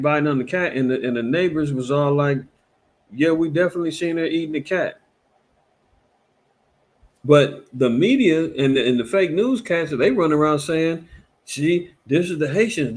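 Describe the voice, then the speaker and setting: A man, hosting casual reaction commentary in an animated, emphatic, rambling way, full of repeats and fillers. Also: fairly high pitch. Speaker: commentary show host.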